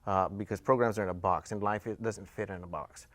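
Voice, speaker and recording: Man, interview guest, deeper voice, halting delivery, close dry recording. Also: a bit bright, no room sound. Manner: halting, searching for words. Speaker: panel guest, juvenile justice volunteer. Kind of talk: unscripted interview answers.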